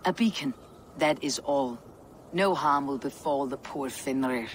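A man's voice: mid-range voice, measured pace, a little hiss or hum, lightly acted. An actor playing a guarded, commanding king of the gods in a video game, terse and resolute. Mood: cold, resolute. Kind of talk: video game cutscene acting.

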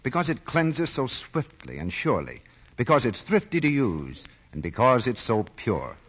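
An American man announcing and pitching for a sponsor in 1940s radio style. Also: huge pitch swings, moderate pace, a bit bright, slightly rough. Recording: muffled vintage radio broadcast.

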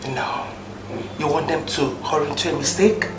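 A Nigerian woman acting a calm, reasoning wife in a domestic film drama, measured and soothing. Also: deep voice, music in the background, lightly acted, rough recording. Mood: reassuring.

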